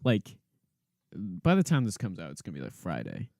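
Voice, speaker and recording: Goofy young man, gravelly lively voice. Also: low-pitched, normal speed, dry recording, clean studio sound. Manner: goofy, joking, easily distracted. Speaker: guest co-host. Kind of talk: casual chat. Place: small home studio room.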